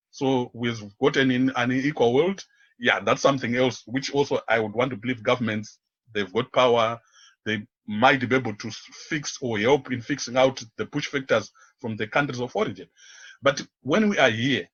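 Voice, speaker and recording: Male radio interview guest, activist type, husky tone, halting and searching delivery, slightly muffled audio. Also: medium pitch, fairly bright tone. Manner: halting, searching, repeats words as they go. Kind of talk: unscripted radio interview talk.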